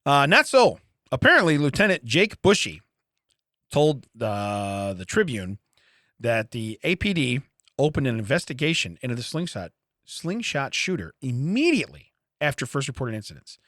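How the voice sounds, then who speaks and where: A man with a mid-pitched, very animated voice chats casually as a podcast co-host. He reads from a story and breaks off for wry, joking commentary. The sound is dry, with almost no room in it. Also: moderate pace, no echo. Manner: jokey, wry running asides. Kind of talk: casual comedy chat, reading and riffing on a news story.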